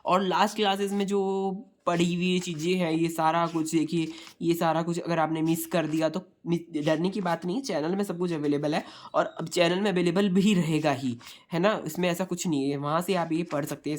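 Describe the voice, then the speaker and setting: A young man speaks hoarsely through a sore throat as he tutors online. He explains each point in a repetitive, chatty way and keeps calling his viewers friends. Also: fairly high pitch. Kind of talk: exam revision lecture.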